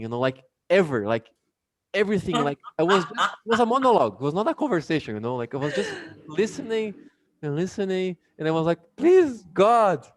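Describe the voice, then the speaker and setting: Brazilian-accented man as a casual English teacher, animated, dry sound, talks with many fillers. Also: a little high, dry recording. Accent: Brazilian Portuguese. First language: Portuguese. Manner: chatty, many fillers, laughs often. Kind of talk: casual online class.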